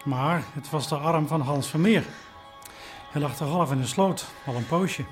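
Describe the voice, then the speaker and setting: An actor playing a matter-of-fact police detective in a Dutch radio drama, speaking in a businesslike way. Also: male voice, fairly high pitch, normal speed, faint music behind, natural voice. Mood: probing.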